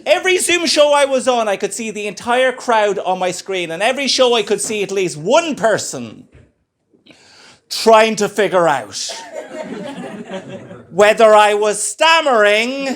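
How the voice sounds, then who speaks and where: A man's voice: high voice, very animated, unhurried, a bit bright. A young Irish man with a stammer doing a stand-up comedy set in a club. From Ireland. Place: comedy club before a laughing crowd.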